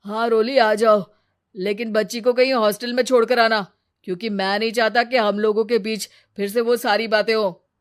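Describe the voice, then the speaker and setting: Selfish cartoon husband, voiced by a woman: irritable, loud, dismissive, harsh and scolding. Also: on the high side, fairly even intonation, normal speed, lightly acted. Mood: needy, demanding. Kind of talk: Hindi cartoon moral story dialogue.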